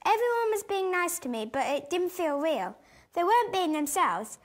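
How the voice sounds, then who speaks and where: A woman's voice: very high voice, very animated, moderate pace. A female performer playing a kind, sensitive schoolgirl in a radio play, earnest in manner. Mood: earnest, reluctant. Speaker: actor in an amateur radio play.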